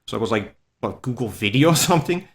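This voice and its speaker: Swiss italian guy, english isnt his first language, mid-pitch voice, rambly laid-back guest chat. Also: animated, fast. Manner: easygoing, rambling, self-deprecating. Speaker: podcast guest, furry artist. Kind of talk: casual interview chat.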